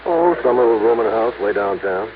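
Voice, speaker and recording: Gravelly old-time radio cop, folksy and insistent, tinny noisy phone-like sound. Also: male voice, fairly low pitch, lively intonation, normal speed. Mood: chatty, pleased. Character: male police lieutenant, folksy, dogged, plain-spoken. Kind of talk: 1950s radio detective drama acting.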